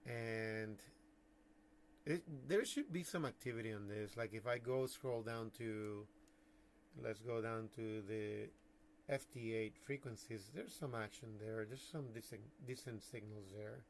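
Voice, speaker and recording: A man giving casual livestream commentary as a radio hobbyist, thinking aloud in halting phrases. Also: on the low side, a little hiss or hum. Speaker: amateur radio operator, livestream host.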